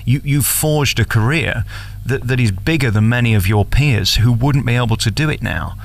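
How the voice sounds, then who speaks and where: A man interviewing as a radio host, flattering and cheeky in manner. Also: mid-range voice, moderate pace, creaky. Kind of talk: interview questions and commentary.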